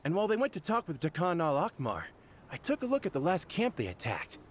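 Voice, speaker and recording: A man's voice: fairly high pitch, wildly swooping pitch, quick pace, slight background noise. A man playing a forthright, boyish young mercenary in a fantasy game, speaking informally and expressively. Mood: matter-of-fact.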